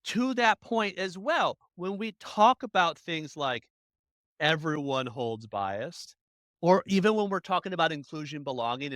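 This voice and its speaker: An adult interview guest speaking in animated, emphatic bursts. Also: medium pitch, no echo, studio quality. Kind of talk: conversational interview.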